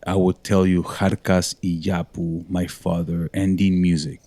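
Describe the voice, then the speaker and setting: A man's voice: deep voice, little pitch movement, a little slow, dark tone, dry recording. A man from Montreal, a guest musician, telling his story in a rambling, self-correcting way. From Montreal, Canada. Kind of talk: casual interview talk.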